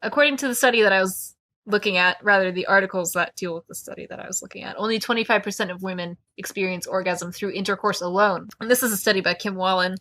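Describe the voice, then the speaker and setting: A woman's voice: mid-range voice, fast talker, pushed and bright. A woman hosting a podcast, discussing a book casually and reading out quotes with an opinionated, analytical manner. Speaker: podcast host.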